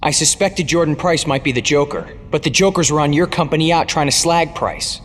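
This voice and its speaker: An actor playing a brash, streetwise young superhero in an audio drama, speaking directly and earnestly. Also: male voice, a little high, a little fast, a bit bright, natural voice. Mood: urgent, regretful. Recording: reverberant, noisy ambience behind.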